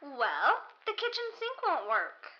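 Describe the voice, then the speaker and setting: A woman playing a coquettish, needy glamour girl in a 1930s radio mystery, coy and pleading with drawn-out words. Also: high voice, wildly swooping pitch, dull audio, heavily acted.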